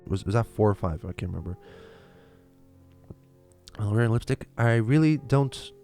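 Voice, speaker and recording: A man's voice: fairly low pitch, lively intonation, moderate pace, warm tone, faint music behind. A young man from Lithuania with a Lithuanian accent, chatting casually on a livestream and answering viewer questions, with many fillers and restarts. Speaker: livestream host.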